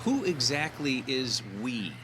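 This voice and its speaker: A man's voice: mid-range voice, sing-song, slow pace, fairly bright tone, slight background noise. An actor playing a wry, discontented male researcher, speaking casually and probingly in a radio drama. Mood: curious, skeptical. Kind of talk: naturalistic radio drama dialogue.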